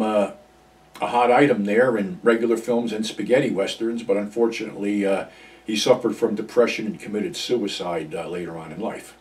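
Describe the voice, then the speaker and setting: A man's voice: medium pitch, darkish tone. Older male host, casual rambling film recap, mic a little distant in a small room. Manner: rambling, frequent ums and uhs, frank and profane. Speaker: horror film reviewer. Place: small home office with computers, indoors. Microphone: far camera, seated at desk.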